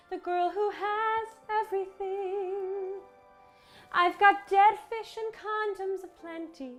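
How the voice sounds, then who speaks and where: A woman's voice: extremely high pitch, voice pushed up. A woman playing a young mermaid in a comedy musical parody, by turns singsong and crudely blunt. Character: sweet young mermaid turned crude, disgusted sea-floor hoarder. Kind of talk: sung musical parody sliding into ranting.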